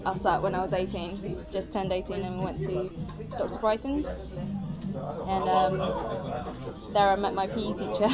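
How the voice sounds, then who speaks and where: Hesitant young woman over loud music. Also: mid-range voice, steady tone, measured pace. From Singapore. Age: 20s. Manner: hesitant, lots of ums and likes. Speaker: interviewee. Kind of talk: casual interview. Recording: dull lo-fi sound with loud music.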